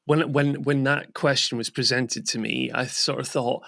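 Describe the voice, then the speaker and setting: A man's voice: mid-range voice, fairly even intonation, moderate pace, vocal fry, fairly bright tone, dry recording, studio quality. A man hosting a podcast, asking long, enthusiastic questions that wander and restart. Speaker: podcast host. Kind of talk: casual podcast interview.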